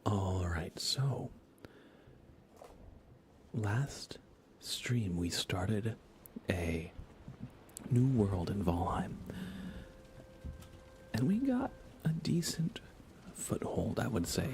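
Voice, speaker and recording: A soft-spoken man chatting casually as he hosts an ASMR gaming stream, often slipping into whispers. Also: lowish voice, sing-song, very slow, long pauses. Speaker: livestream host. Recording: faint computer fan noise. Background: computer fans, game ambience.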